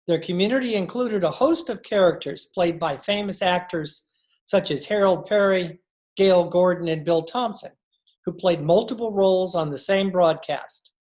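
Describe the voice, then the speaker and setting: A woman's voice: fairly low pitch, darkish tone. A woman reading scripted narration as the announcer of an old-time radio show.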